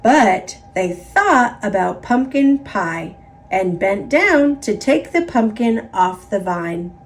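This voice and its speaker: A woman with an American accent narrating a children's picture book in an expressive, emphatic read-aloud style. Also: medium pitch, slow. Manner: expressive, emphatic, repetitive build-ups. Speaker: storybook narrator.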